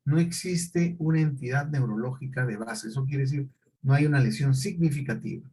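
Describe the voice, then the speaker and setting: A man's voice: medium pitch, moderate pace, mellow, a little hiss or hum. A middle-aged male teacher, lecturing a class and often checking in with questions.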